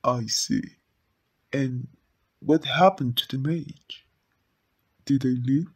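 A man playing a stern, suspicious Lord Master of a royal court in an audio roleplay, formal and measured, with a gravelly, husky voice. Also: mid-range voice, a little mellow. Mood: stern, probing. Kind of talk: slow ASMR audio roleplay acting.

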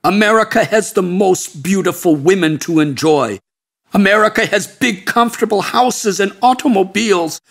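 A man voicing a bitter, angry Indian sadhu in an audiobook, scornful and emphatic, with a husky voice. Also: high-pitched, moderate pace, voice pushed up. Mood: furious. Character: bitter, angry male Indian sadhu of middle age or older.

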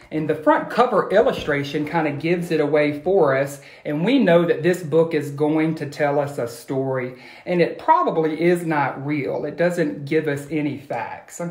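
An American man, a teacher in his thirties, reading aloud to children and asking them questions in an expressive, instructional way, recorded on a phone at home. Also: on the high side. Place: small room at home, indoors. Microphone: phone at arm's length. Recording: echoey room, phone recording.

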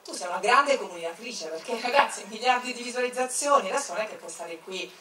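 Lively joking woman interviewer on stage. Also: mid-range voice, fast talker, a little hiss or hum. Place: large theater stage. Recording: echoey hall, far-off mic, loud crowd.

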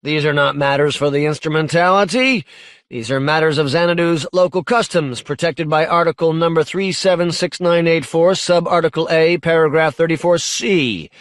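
A man's voice: a little high, moderate pace, dry recording, clean studio sound, natural voice. A man voicing a guarded, unemotional governor in an audiobook, curt and officious. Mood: stern, officious.

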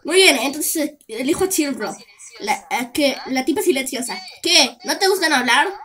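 A woman with a Latin American Spanish accent voicing squabbling object characters in an animated cartoon fan dub. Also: high-pitched, moderate pace. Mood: bickering.

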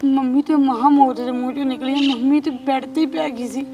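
A woman's voice: steady tone, brisk, warm tone, highs cut off, slight background noise. A woman playing a tearful young wife. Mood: subdued. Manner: sobbing, despairing, low subdued delivery. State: crying. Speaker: actor. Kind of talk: scripted drama acting. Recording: distant mic with faint music.

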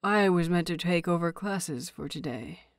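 A woman's voice: fairly low pitch, moderate pace, no room sound, studio quality, close to an everyday voice. A woman voicing a stern old male master in a story, terse and reluctant, as if each word is forced out. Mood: bitter, reluctant.

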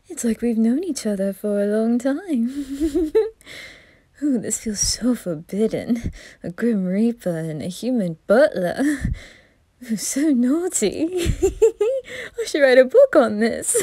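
Woman playing a campy flirt of a male reaper, slow, giggly, warm-toned. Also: fairly high pitch, very animated, no echo, full character voice. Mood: teasing. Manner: teasing, giggling, breathy whispers. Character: flamboyant flirtatious male reaper posing as butler. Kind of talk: ASMR roleplay.

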